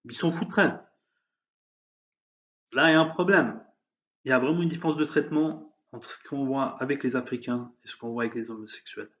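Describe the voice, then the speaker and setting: A man's voice: mid-range voice, fairly quick, long pauses, fairly bright tone, dull audio. A man giving an emphatic, heated opinion monologue, hesitating often with fillers. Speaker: vlogger. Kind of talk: opinion monologue on current events.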